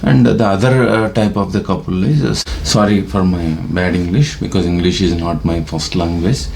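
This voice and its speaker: A man with a Telugu accent talks casually to his viewers in a rambling, hesitant way, dotting his speech with fillers. His voice sits very low and has a gravelly rasp. A faint ambient hum runs underneath. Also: fairly even intonation, normal speed, a little mellow. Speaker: vlogger. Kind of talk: casual monologue to camera. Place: Detroit.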